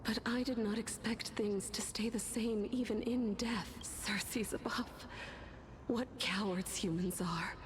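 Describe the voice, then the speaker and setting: An actress voicing a miserable, weary, philosophical soul who seeks death, in a hushed, sorrowful game performance. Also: female voice, medium pitch, fairly even intonation, slow, noisy background, lightly acted. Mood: weary despair. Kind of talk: dramatic video game voice acting.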